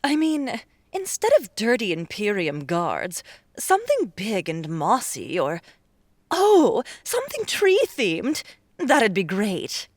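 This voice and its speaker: A woman playing an eager, sharp-tongued and wistful young fox beastwoman in an audiobook, expressive and prone to exclaiming. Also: medium pitch, slow, no room sound, full character voice. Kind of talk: audiobook character acting.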